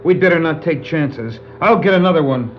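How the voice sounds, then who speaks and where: An actor playing an eager, earnest young superhero sidekick with keen, emphatic delivery on a 1960s adventure record. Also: male voice, fairly high pitch, animated, fairly quick, a little hiss or hum, natural voice. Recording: dull 1960s vinyl record dramatization.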